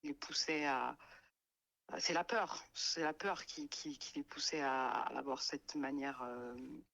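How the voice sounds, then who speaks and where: Halting woman in her thirties. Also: very deep voice, fairly even intonation, a bit bright. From France. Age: 30s. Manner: halting, many fillers and repeated words. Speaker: guest telling her life story. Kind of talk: hesitant personal testimony. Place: radio studio.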